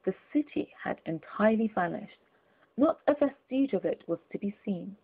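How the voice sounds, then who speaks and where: A woman reading classic literature aloud as an audiobook narrator, in an expressive, deliberate storytelling manner. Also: medium pitch, gravelly, husky, phone-quality sound. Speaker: audiobook reader.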